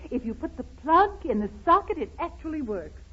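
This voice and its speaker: A woman's voice: high voice, sing-song, brisk, a bit bright. A woman playing an eager, polite toy shop saleslady with coaxing patter in an old radio comedy. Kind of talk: 1930s radio comedy acting. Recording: muffled old 1930s radio recording.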